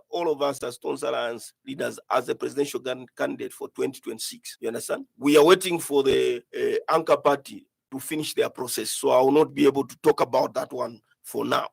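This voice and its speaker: A man's voice: mid-range voice, a little fast, vocal fry, hardly any pauses, husky, no echo, poor audio. A man with a Zambian accent giving a spoken interview answer as a politician, explaining with emphatic phrasing. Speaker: political party leader being interviewed.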